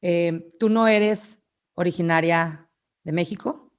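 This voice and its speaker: A woman's voice: fairly low pitch, takes it slowly, smooth. A young woman with a Mexican accent hosting an interview, eager and enthusiastic as she introduces and questions her guest. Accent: Mexican Spanish. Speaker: host.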